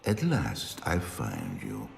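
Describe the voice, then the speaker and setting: A menacing, mock-polite interrogator in a dark fantasy cinematic. He speaks low and slowly, with a gravelly edge. His probing words ring with long echo. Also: huge pitch swings, full character voice. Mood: sly, controlled. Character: menacing, probing visitor in disguise, calmly interrogating. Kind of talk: dramatic game cinematic dialogue. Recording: cavernous long reverb, faint background noise.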